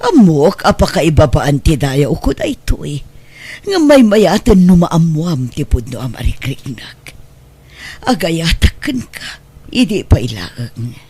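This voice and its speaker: A woman's voice: sits low, big pitch swings, unhurried, dark tone, husky, exaggerated voice. An actress with an Ilocano accent playing a wealthy, amorous female employer in a radio drama, speaking intimately and persuasively. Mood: seductive.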